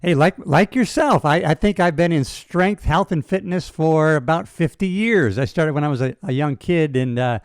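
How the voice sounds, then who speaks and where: A man's voice: a little high, moderate pace, hardly any pauses, no room sound, clean studio sound. A man in his sixties hosting a podcast, chatty and enthusiastic, talking to his guest like a buddy. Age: 60s. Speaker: podcast host. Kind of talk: casual interview talk.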